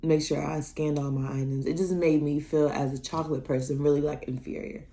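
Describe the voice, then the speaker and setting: Animated young woman telling stories, mellow. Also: brisk. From Nebraska. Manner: animated, acts out dialogue with big pitch jumps. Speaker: vlogger. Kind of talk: casual storytelling vlog.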